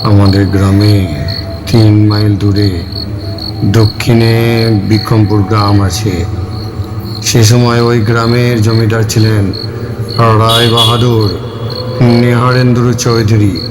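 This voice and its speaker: A man playing a grave older village gentleman who solemnly recounts a tragedy in an audio horror story. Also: fairly low pitch. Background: music.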